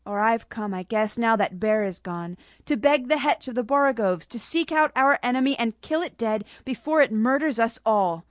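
A woman voicing a small female pygmy marmoset, shrill, sobbing and desperate. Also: animated, moderate pace, hardly any pauses, dry recording, clean studio sound, lightly acted. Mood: insistent.

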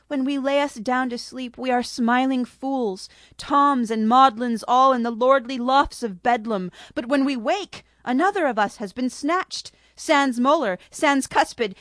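A woman voicing a small female pygmy marmoset, shrill, sobbing and desperate. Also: a bit bright, no room sound. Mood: shrieking.

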